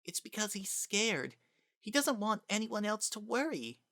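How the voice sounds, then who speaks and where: A woman playing an earnest, anxious, kind young boy who speaks plainly and haltingly in a dramatic reading. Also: medium pitch, very animated, a bit bright, no room sound, clean studio sound. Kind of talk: dramatic reading of fan fiction.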